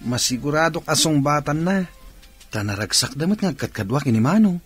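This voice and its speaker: A man's voice: on the high side, lively intonation, a little fast, slightly rough, soft background music. A man playing a confident older brother in an Ilocano radio drama. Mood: assured.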